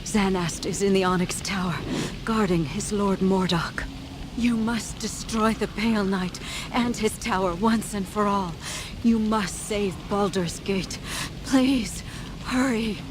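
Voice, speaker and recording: Female actor as solemn fantasy game character, dramatic, halting, slow, noisy game capture. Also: medium pitch, close to an everyday voice. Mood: anguished, pleading. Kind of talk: video game character acting.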